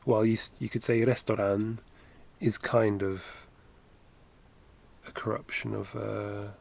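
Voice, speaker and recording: Gravelly man rambling while drawing. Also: on the low side, flat delivery, slow pace. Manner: rambling, self-correcting, chatty asides. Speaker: animation streamer. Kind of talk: casual livestream chat while drawing. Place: home studio, indoors. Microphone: headset.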